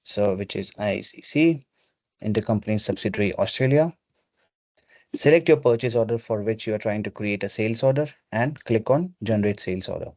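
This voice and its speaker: A man presenting a webinar, explaining software step by step in an instructional way over a video call. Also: lowish voice, animated, a little fast, no echo. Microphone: video call microphone. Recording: dull, lo-fi video call audio.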